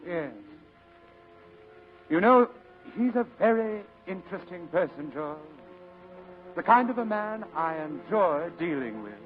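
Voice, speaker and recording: Courtly, sly old criminal mastermind. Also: male voice, high voice, sing-song, a little slow, long pauses, soft background music. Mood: pleased, sly. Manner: courtly, leisurely, ornate speech. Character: genteel, sly criminal mastermind, a fat older man. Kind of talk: 1940s radio acting. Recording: muffled vintage radio broadcast, rough sound.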